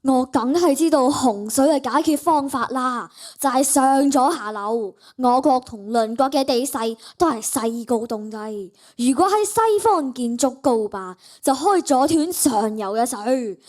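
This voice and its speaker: Young girl doing a proud old-time court official, hong kong cantonese, youthful voice, radio play. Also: high voice. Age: child, primary school student. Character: proud male flood-control official of ancient China. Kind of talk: school radio drama acting.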